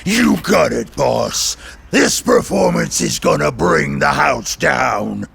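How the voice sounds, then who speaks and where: Gravelly, bellowing henchman. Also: medium pitch, huge pitch swings, slow, a bit bright, heavily acted. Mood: gleeful bravado. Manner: cocky, bellowing. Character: brash boastful male supervillain henchman. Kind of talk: video game cutscene acting.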